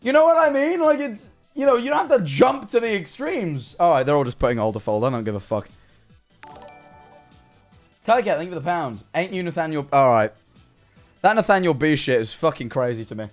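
A man's voice: on the high side, a little fast, faint music behind. A young man, reading viewer messages aloud on a livestream with sarcasm and a lot of swearing. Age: young adult. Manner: animated, sarcastic, swears often. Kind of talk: reading and reacting to chat. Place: small bedroom streaming room. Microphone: headset-style studio mic, seated at desk.

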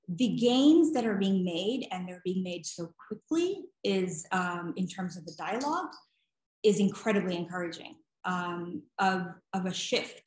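A middle-aged woman answering interview questions thoughtfully, searching for words and repeating them as she goes, on a video call. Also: lowish voice. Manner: hesitant phrasing, repeats words while thinking. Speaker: guest speaker, nonprofit director. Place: home room, indoors. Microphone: video call computer mic. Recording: roomy echo on video call.

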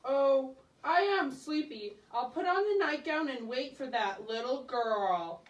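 Shrill, sly storybook wolf. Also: female voice, lively intonation. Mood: sleepy, scheming. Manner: slow, drawn-out, theatrical. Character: sly, hungry big bad wolf posing as a sweet granny. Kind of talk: children's fairy tale acting. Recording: distant, echoey, slightly muffled.

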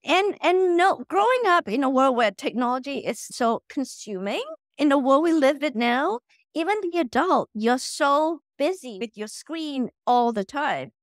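Woman from Malaysia, middle-aged, animated guest, dry close sound. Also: high voice, unhurried, no room sound. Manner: animated, repeats words when starting sentences. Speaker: guest, company founder. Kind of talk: casual interview chat. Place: home room, video call.

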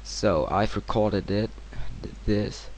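A man narrating a casual software tutorial in hesitant, informal, non-native-sounding English. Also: lowish voice, takes it slowly. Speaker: tutorial presenter. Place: home computer screen-recording voice-over. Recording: clear steady background noise.

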